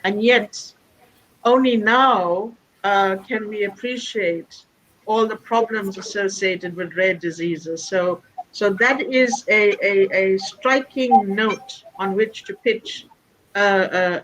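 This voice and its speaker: A man's voice: high voice, steady tone, measured pace, fairly bright tone, slight background noise, rough recording. Encouraging man restarting his words. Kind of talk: conference remarks.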